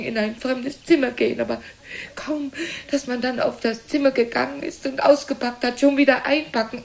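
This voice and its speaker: High, creaky, plaintive aging mother. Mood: weary, self-pitying. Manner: plaintive, dramatic, repetitive lamenting with swings in loudness. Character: aging, self-pitying, reproachful mother and travelling theatre woman. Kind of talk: theatrical German radio drama acting.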